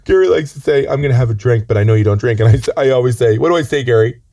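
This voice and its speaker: Man, hoarse with a cold, rushed rambling deadpan comic host, low dark voice. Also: slight background noise. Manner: rambling, stammering, earnest. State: raspy from a chest cold. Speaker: comedy co-host. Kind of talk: deadpan improvised comedy chat. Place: outdoors on a lookout.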